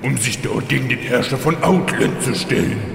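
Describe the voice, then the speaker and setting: A man's voice: mid-range voice, animated, normal speed, creaky. An actor playing a smug undead villain king in a parody fantasy audio drama, drawling and taunting with feigned innocence. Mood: musing, intrigued. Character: smug undead Lich King, teasing, mock-friendly villain. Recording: very echoey reverberant hall, faint noise.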